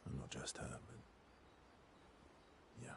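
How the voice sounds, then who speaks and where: An actor playing a guarded, wry, mysterious man in a video game cutscene, measured and dryly teasing. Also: a little hiss or hum, natural voice. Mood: subdued. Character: guarded, wry, mysterious male stranger, calm ally. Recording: music behind the voice.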